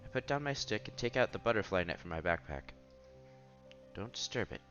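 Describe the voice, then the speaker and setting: Bright-voiced low man reading a visual novel aloud. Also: a little fast, highs cut off, soft background music. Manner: expressive reading with exclamations and asides. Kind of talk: reading game narration aloud with casual commentary.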